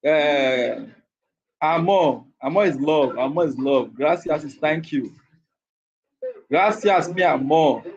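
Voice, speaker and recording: A man's voice: a little high, slow pace, pauses often, breathy edge, muffled recording. A man from Nigeria with a Nigerian accent, hosting a casual livestream chat and repeating his phrases over and over. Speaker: livestream host.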